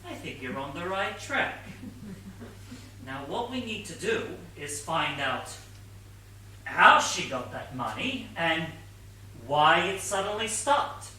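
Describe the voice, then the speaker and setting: A man playing an earnest, know-it-all junior detective sidekick in a comic stage play, explaining things deliberately. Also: fairly high pitch, very animated, bright, forceful tone, full character voice. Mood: conspiratorial. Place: theatre stage, picked up from a distance. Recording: distant echoey theatre stage recording, faint noise.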